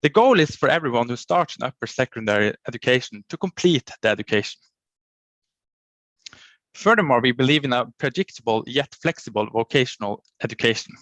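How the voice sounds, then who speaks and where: A man reads out a prepared conference talk at an ordinary mid pitch. His delivery is formal and halting, with clipped words that he restarts. The sound is close and dry. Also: expressive, fairly bright tone, no room sound. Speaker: county education official presenting. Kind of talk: reading a prepared conference presentation.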